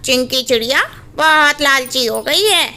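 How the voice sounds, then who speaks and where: A woman's voice: high-pitched, measured pace. A woman voicing a grown-up male forest bird in a cartoon story, who talks in an agreeable, reassuring way. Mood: agreeing.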